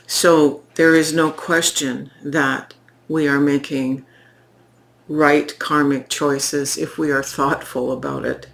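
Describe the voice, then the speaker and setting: A woman giving a tarot reading in an unhurried, reflective way, her voice croaky from recent illness. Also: deep voice. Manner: slow, reflective, pausing, with fillers. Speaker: tarot reader. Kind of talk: unscripted spoken tarot reading.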